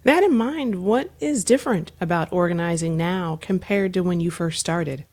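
A woman hosting a public radio interview show, introducing a news story and her guest in a measured, formal newsreader delivery. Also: fairly low pitch, darkish tone.

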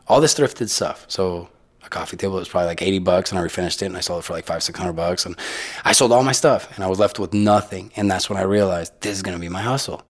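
A man's voice: low voice, fast. A young man from the Tijuana area, a native Spanish speaker, telling his story in a casual, laid-back way, calling people dude and bro. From Mexico, Tijuana area. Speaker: interviewee. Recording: music playing underneath.

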